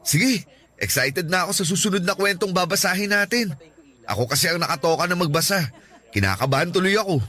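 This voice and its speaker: Shy, higher-voiced young Filipino man. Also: expressive, fairly quick, bright, forceful tone, heavily acted. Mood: nervous excitement. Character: shy, earnest young man, introverted first-time volunteer. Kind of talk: Tagalog radio drama acting, conversational. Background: background music.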